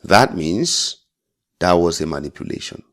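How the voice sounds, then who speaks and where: A man's voice: low voice, animated, measured pace, a bit bright. A man giving an informal trading tutorial as an instructor, speaking bluntly and emphatically, repeating phrases for stress and adding check-in tags. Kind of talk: informal tutorial explaining a chart.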